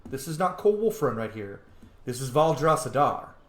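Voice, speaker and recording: A man's voice: mid-range voice, very animated, normal speed. A man giving casual, reflective let's play commentary, thinking aloud as he goes. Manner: reflective, thinking aloud, mimics opinions in quotes. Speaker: gamer, roleplay host. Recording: roomy, echoing room sound.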